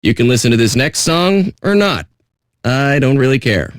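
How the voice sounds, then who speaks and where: An actor playing a jaded pirate radio DJ with a sardonic, blunt, profane way of talking. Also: male voice, mid-range voice, very animated, normal speed, no echo, clean studio sound, natural voice. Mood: bored contempt. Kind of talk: irreverent radio DJ patter.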